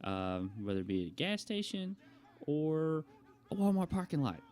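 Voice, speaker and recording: A man's voice: on the high side, very animated, normal speed, a little mellow. A young adult man chatting casually to viewers as a vlogger, telling a rambling personal story in a conversational way. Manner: conversational, acts out quoted remarks.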